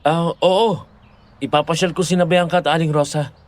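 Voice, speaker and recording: A man's voice: fairly high pitch, fairly even intonation, moderate pace, close to an everyday voice. A man playing an easygoing, attentive young boyfriend in an audio drama, casual and reassuring. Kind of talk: dramatised audio horror story acting.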